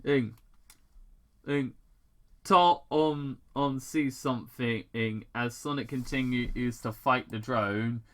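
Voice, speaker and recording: An amateur reader narrating fan fiction aloud in a halting way, stumbling and repeating words. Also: medium pitch, fairly bright tone. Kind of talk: amateur story read-aloud.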